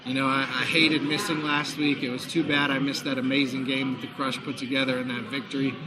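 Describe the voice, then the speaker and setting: A man with a hoarse voice hosting a live sports talk show, chatty and promotional, from a busy bar. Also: mid-range voice, level tone, fairly quick. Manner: chatty, promotional, frequent fillers. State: hoarse, recovering lost voice. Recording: noisy bar crowd behind. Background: crowd chatter.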